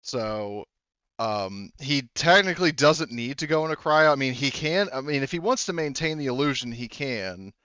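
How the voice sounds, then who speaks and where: A man acting as game master in casual talk, thinking aloud haltingly and swearing bluntly. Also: medium pitch, expressive, sharp tone, smooth, dry recording, muffled recording. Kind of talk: running a tabletop roleplaying game, casual talk.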